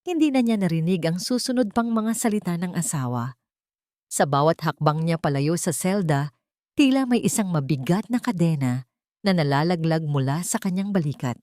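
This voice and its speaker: Solemn Filipino woman narrator, low and dry. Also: expressive, moderate pace, darkish tone, no echo. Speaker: audiobook narrator. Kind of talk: dramatic scripted storytelling narration.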